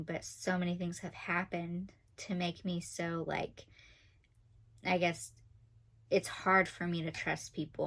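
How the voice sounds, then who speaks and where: A woman in her twenties with a nasal, monotone voice, chatting fast and dryly as a vlogger counting off facts about herself. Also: fairly low pitch. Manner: talks fast and a lot, dry and sarcastic. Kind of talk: casual talking-to-camera list video.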